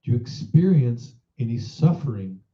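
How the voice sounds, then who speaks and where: A man leading a guided meditation as a teacher, in a measured, instructive manner, posing reflective questions. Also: mid-range voice, expressive. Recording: roomy echo, dull muffled sound.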